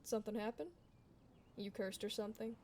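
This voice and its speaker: A woman's voice: mid-range voice, level tone, brisk, darkish tone, a little hiss or hum, lightly acted. A woman playing a blunt, kind tomboy dullahan adventurer in a casual, reassuring fantasy ASMR roleplay. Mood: casual, frank. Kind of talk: ASMR fantasy roleplay, close conversational acting.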